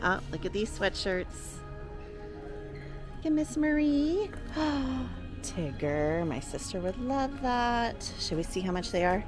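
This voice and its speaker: A woman's voice: on the high side, animated, takes it slowly. Gushing woman vlogging, music in the background. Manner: gushing, enthusiastic. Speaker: vlog co-host. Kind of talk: casual shopping vlog chat to viewers.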